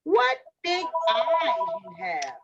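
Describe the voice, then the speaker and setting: A woman's voice: extremely high pitch, wildly swooping pitch, slow, creaky, lo-fi sound, heavy background noise, pitched above the performer's own voice, full character voice. A woman voicing an innocent, polite little girl in a storybook, earnest and trusting. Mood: wondering.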